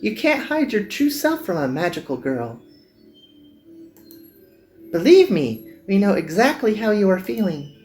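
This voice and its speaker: A man voicing an earnest, gentle young magical girl in a visual novel, pleading and sincere. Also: sits high, sing-song, measured pace, pauses often, echoey room, faint music behind, voice pushed up. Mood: grateful. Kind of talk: visual novel character dubbing.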